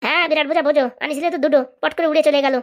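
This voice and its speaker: A woman's voice: high voice, steady tone, racing, natural voice. A woman playing a bossy, grumbling crook who runs a begging racket in a comic cartoon, scolding and sarcastic.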